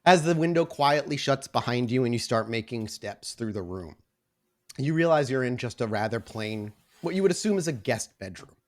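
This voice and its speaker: A man's voice: mid-range voice, lively intonation, normal speed. A man working as game master, narrating a tabletop roleplaying game. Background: background music.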